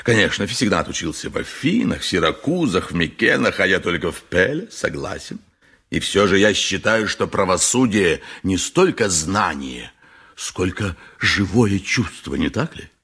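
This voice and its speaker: An actor playing a pompous, wily populist lawyer in a radio play, fervent and rhetorical, with dramatic pauses. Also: male voice, low-pitched, very animated, fairly bright tone, husky, heavily acted. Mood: fervent oratory. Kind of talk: 1980s radio play monologue.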